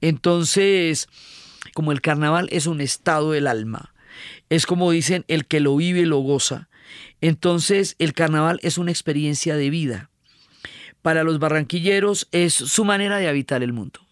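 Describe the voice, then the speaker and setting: A man's voice: on the high side, normal speed, no room sound, studio quality. A man, explaining carnival traditions enthusiastically and repeating words for emphasis. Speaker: cultural commentator.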